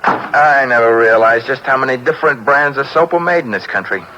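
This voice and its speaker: An actor playing a wisecracking young assistant detective in a 1940s radio drama, talking in a breezy, wry way. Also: male voice, mid-range voice, fairly quick, pushed and bright, a little hiss or hum, natural voice. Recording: dull lo-fi old radio recording.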